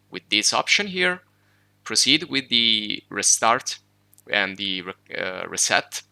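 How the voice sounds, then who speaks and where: Gravelly, bright-voiced man walking through a tutorial. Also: lowish voice, no room sound. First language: Italian. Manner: relaxed, explanatory, with fillers and self-corrections. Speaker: tech tutorial host. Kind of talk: screen-recorded tutorial walkthrough.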